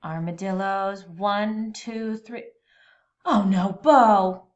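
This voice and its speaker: A woman's voice: mid-range voice, drawn-out words, dull audio. A woman voicing a worried, watchful mother armadillo who frets and exclaims over her boys in a children's storybook.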